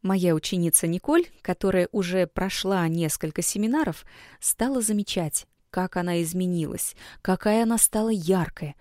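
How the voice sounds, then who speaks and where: A woman with a mellow, warm voice gives a scripted lesson and speaks to her listener in a soft, coaxing way. Her recording is close and dry, with no room sound at all. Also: fairly low pitch, lively intonation, moderate pace, dry recording. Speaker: women's school coach. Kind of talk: scripted instructional narration.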